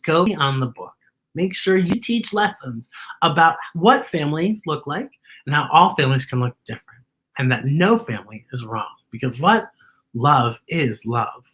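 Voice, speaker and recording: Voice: medium pitch, animated, normal speed, a bit bright, rough recording. A children's story time host reading aloud and talking to young viewers in an enthusiastic, emphatic way. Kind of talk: animated read-aloud to children.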